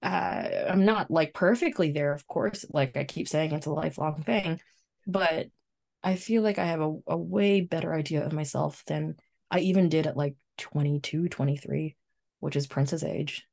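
Female, twenties, distinctly low pitch, reflective and informal podcast co-host delivery. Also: moderate pace, creaky, a little mellow. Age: 20s. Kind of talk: casual chat.

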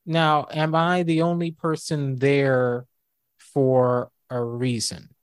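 A man with a fairly high, mid-pitched voice chats casually as a co-host. He thinks aloud, restarting sentences and leaning on fillers. The sound is dry and close. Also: level tone, slow pace, clean tone, no echo. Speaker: co-host and former college professor. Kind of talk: casual podcast debate.

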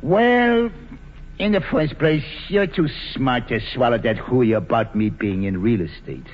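An actor playing a cocky, slangy American gangster boss who talks breezily and pushily, in 1940s radio drama style. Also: male voice, medium pitch, expressive, a little slow. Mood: wheedling, pushy. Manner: breezy, pushy, wheedling talk in gangster slang. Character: slangy, cocky male bootlegger gang boss. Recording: dull vintage radio broadcast, reverberant, faint music.

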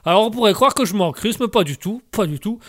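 A man's voice: high-pitched, lively intonation, fast, no echo, clean studio sound. A man voicing an indignant male Québécois with a mock Québécois accent and Québécois swearing.

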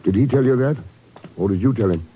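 An actor playing a cool, wry secret agent in a 1950s radio drama, dry, terse and unflappable. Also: male voice, medium pitch, lively intonation, brisk, slight background noise, natural voice. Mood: dry, accusing. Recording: dull old 1950s radio recording.

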